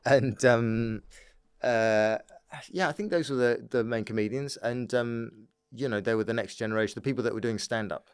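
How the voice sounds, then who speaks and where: A man's voice: medium pitch, normal speed, clear, resonant tone, no echo, clean studio sound. A middle-aged British man chatting casually as a podcast guest, bantering and often repeating words as he starts a thought. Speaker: stand-up comedian, podcast guest. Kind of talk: casual chat.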